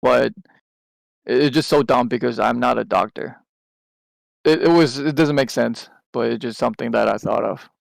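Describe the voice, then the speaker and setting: Gravelly man. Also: mid-range voice, moderate pace, no room sound. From Taiwan. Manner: rambling, with many restarts and hesitant fillers. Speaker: podcast co-host. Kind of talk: casual chat.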